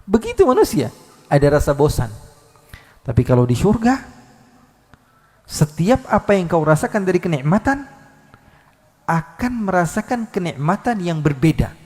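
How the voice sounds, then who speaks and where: A male preacher giving a live Islamic sermon, lively, swinging between loud calls and soft asides with many rhetorical questions. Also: sits high, moderate pace, pauses often, long reverb tail. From Indonesia. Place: large hall at a live religious gathering.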